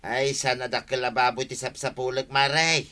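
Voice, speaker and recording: A man's voice: medium pitch, fairly even intonation, normal speed, pushed and bright, lightly acted. A man with an Ilocano accent playing a sheepish, complaining village husband in trouble, protesting and pleading in a radio comedy drama. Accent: Ilocano, Philippines.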